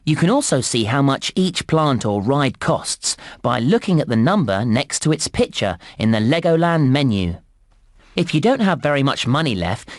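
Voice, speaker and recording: An actor playing a cheerful male park announcer, giving scripted narration and clear instructions to young players in a children's game. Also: medium pitch, dry recording, studio quality, natural voice. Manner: upbeat presenter delivery, clear instructions.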